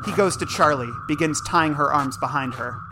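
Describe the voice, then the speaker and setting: Narrator role: man, slightly high voice, scripted audio drama narration, clear music bed. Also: moderate pace, rough recording.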